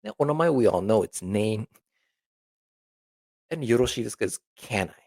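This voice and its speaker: A man's voice: lowish voice, lively intonation, quick pace, darkish tone, no room sound, studio quality. A man teaching an informal language lesson, explaining example phrases conversationally and often checking in with the listener.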